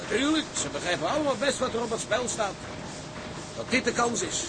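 An actor playing a sober, worried tugboat officer in a radio drama. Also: male voice, extremely high pitch, very animated, fairly quick, crowd noise, background noise. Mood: concerned, steady.

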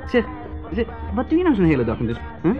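A man's voice: high-pitched, huge pitch swings, fast, faint music behind. An actor playing a nervous, hesitant man who rambles and repeats himself, in 1970s radio drama style. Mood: insistent. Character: Joris, nervous chattering man visiting his estranged brother. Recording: dull, noisy 1970s radio recording.

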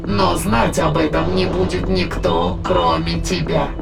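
An actor playing a cold, detached alien entity that inhabits a human body, speaking in measured, formal phrases with a gravelly voice in an audio play. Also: male voice, fairly high pitch, very animated, full character voice. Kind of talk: dramatic audio play acting. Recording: voice under prominent music.

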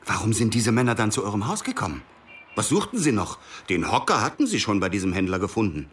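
A man's voice: lowish voice, big pitch swings, quick pace, fairly bright tone, slight background noise. An actor playing an urgent, distrustful interrogator in a radio drama, protective underneath as he pushes with short questions. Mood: pressing. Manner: pressing short questions, drops to whispers. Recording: music behind the voice.

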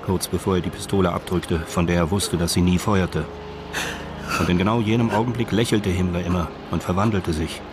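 A man's voice: sits low, steady tone, a little fast, background noise. A man reading scripted narration for a radio crime drama.

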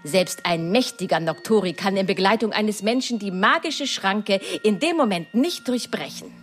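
A woman's voice: mid-range voice, animated, normal speed, faint music behind, close to an everyday voice. Actress as chatty witch lady selling poisons, theatrical and proud, voice swoops all over, radio play. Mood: matter-of-fact. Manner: effusive, theatrical, proud of her craft.

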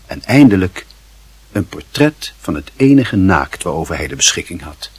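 A man with a low, husky voice tells a literary story for a radio play. He is measured and formal with a wry edge, recorded close in a dry studio. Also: big pitch swings, no room sound. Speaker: narrator.

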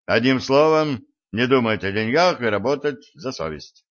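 A man's voice: medium pitch, animated, moderate pace. A man narrating an audiobook, reading the dialogue with small shifts for each character. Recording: dull lo-fi audio.

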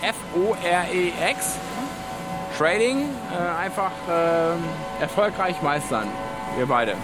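A man's voice: high voice, slow pace, over loud music. A man chatting casually on a street, rambling hesitantly and repeating himself effusively. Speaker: passer-by. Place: outdoors on an urban street. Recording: noisy street with traffic.

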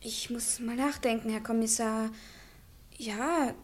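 An actress playing an anxious, uncertain widow under questioning, hesitant and pleading, in radio crime drama style. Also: female voice, fairly high pitch, steady tone, slow. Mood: uncertain, guarded.

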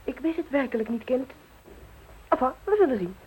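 A woman's voice: high voice, animated, brisk. An actress playing a genteel, chatty middle-aged mother in a radio play. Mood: musing. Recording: noisy old radio play recording.

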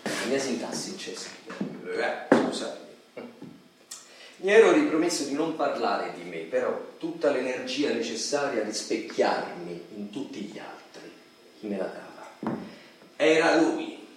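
An actor playing a weary, introspective professor in a radio play, speaking hesitantly and reflectively. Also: male voice, mid-range voice, very animated, measured pace. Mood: confessional, troubled. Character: weary, introspective male professor narrating his own story. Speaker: stage actor. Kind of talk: theatrical monologue and dialogue, Italian radio play. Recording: very echoey, distant, noisy stage recording.

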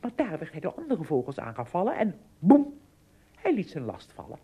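A woman narrator tells a story for a radio drama. Her lowish voice is mellow and husky, with a very lively melody. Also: moderate pace.

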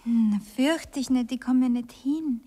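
A woman's voice: high voice, normal speed, mellow, breathy edge. An actress playing a coy, naive yet cheeky young working-class girl in a radio drama, with colloquial Viennese speech. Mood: coy, guarded. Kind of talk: 1960s German radio drama acting.

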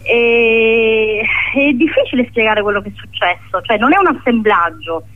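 Woman on a thin phone line, halting. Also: on the high side. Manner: hesitant, searching for words, repeating herself. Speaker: playwright being interviewed. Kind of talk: reflective interview conversation. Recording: narrow phone-line sound.

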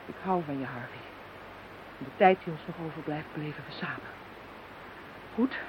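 A woman's voice: deep voice, normal speed, natural voice. A woman acting a passionate, defiant lover facing danger in a radio drama, with intense, earnest delivery. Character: passionate, doomed young woman in love, defiant. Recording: thin, phone-like radio recording with hiss.

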